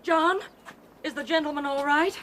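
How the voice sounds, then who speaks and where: A woman's voice: sits high, fairly even intonation, normal speed, a bit bright, slight background noise. An actress playing a gracious, self-possessed, romantic lady with a persuasive manner in a radio drama. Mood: alarmed.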